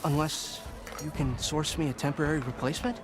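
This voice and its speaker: An actor playing a fussy, put-upon but polite camp cook in a video game, with formal, wordy speech. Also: male voice, on the high side, steady tone, fairly quick, music in the background, natural voice. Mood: courteous, harried. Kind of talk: video game dialogue acting.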